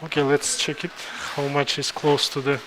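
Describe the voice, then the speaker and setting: Man, halting procedural commentary. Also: a little high, completely flat delivery. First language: Kazakh. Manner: halting, thinks aloud with pauses and restarts. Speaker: interventional neurosurgeon. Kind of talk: live commentary during a procedure. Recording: noisy room background.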